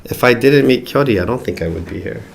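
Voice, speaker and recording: A man's voice: medium pitch, expressive, quick pace, vocal fry, poor audio. A man from the United States hosting a casual livestream chat, blunt and teasing with his guest. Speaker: channel host.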